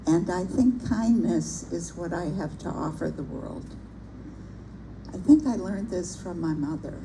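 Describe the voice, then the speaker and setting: Soft-spoken older woman, gravelly, slow. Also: lowish voice, expressive. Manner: soft-spoken, gentle and reflective. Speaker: poetry reader at a public reading. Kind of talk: reciting a poem with personal remarks. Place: bookstore event room. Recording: noisy live event recording.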